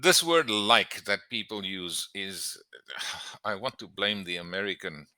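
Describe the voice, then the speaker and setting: Male English teacher, very low pitch, slow and emphatic, instructional delivery. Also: sharp tone, no echo. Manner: emphatic, deliberate, stressing key words. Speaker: English teacher giving exam tips. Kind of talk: instructional lesson to camera.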